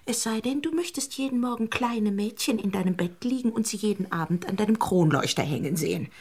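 An actress playing a sharp-tongued, melodramatic woman friend with biting sarcasm in a German radio drama. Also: mid-range voice, expressive, fairly quick, breathy edge. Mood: sarcastic.

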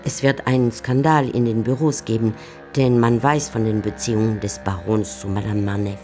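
A woman's voice: very deep voice, fairly even intonation, normal speed, a little mellow, faint music behind. A woman giving composed, articulate scripted narration for a literary radio drama.

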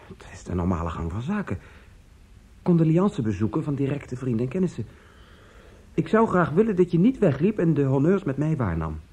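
Husky, stern, dutiful brother. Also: male voice, mid-range voice, wildly swooping pitch, brisk, warm tone, highs cut off. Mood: stern, formal. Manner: admonishing, formal, orderly. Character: stern, dutiful brother organising family mourning. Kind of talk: Dutch radio drama acting.

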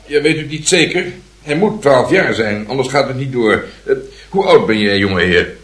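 A man's voice: medium pitch, animated, moderate pace, fairly bright tone, close to an everyday voice. A man playing an officious, suspicious museum attendant in a radio play. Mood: stern. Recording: echoey room.

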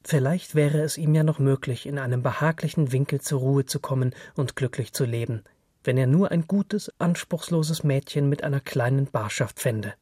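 A man's voice: mid-range voice, normal speed, warm tone, slightly rough, no echo, clean studio sound. A man narrating literary fiction from a script.

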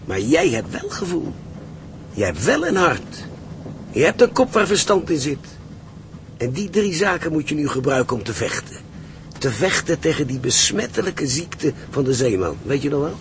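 A man acting a gruff, seasoned seaman in a Dutch radio drama, blunt and philosophical. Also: fairly high pitch, big pitch swings, normal speed, background noise. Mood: grave, encouraging.

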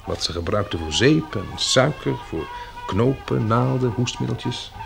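Practical survivor guy in a radio play, creaky voice, dry n wry, measured delivery. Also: mid-range voice, lively intonation, music in the background. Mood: earnest, practical. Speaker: actor in a radio drama. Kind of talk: Dutch radio play acting.

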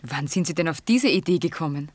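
A woman's voice: low-pitched, animated, a little fast, darkish tone, no room sound. A woman playing an earnest, brooding young lady in a German radio drama, with intense, wistful, literary phrasing.

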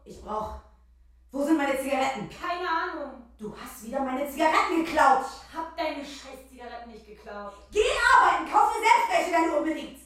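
A shrill furious household quarrel. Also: female voice, sing-song, moderate pace. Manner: shouting, crude, quarrelsome. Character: furious drunk mother and rebellious teen sister. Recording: roomy studio sound from a distance.

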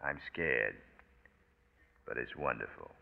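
An actor playing a hard-boiled, wisecracking private detective in a 1940s radio noir, with sardonic, clipped tough talk. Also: male voice, a bass voice, expressive, takes it slowly, vocal fry, heavily acted. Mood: tender, low. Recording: muffled 1940s radio broadcast recording.

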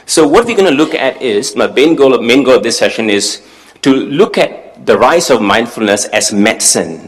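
A man from Singapore in his forties giving a conference talk as a mindfulness teacher, earnest and self-effacing, explaining with frequent fillers. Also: mid-range voice. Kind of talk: conference presentation. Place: conference room with audience.

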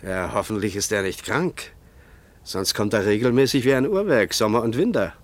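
A man's voice: lowish voice, animated, normal speed, lightly acted. An actor playing a plain-spoken, homely and slightly wary male country innkeeper in a radio crime drama. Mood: chatty, obliging.

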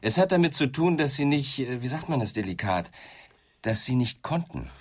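An actor playing a controlled, relentless police inspector questioning a suspect in a German radio crime drama, insistent and dryly ironic. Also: male voice, medium pitch, lively intonation, normal speed, lightly acted. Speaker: interrogator.

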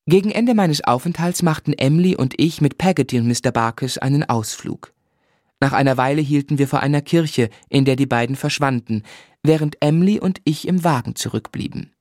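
A man's voice: fairly high pitch, brisk, a little mellow, dry recording, clean studio sound, close to an everyday voice. An actor playing a grown man who recalls his boyhood, narrating a literary radio drama in the first person. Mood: reflective. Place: dry studio.

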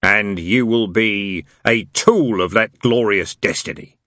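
A man voicing a malicious senior male demon who speaks slowly and coldly. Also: on the low side, bright, forceful tone, dry recording, clean studio sound. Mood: menacing. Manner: slow, drawn-out, menacing delivery.

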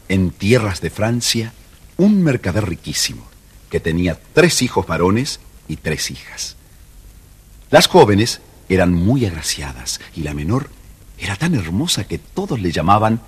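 Deep raspy storybook narrator, man. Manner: measured, storytelling cadence.

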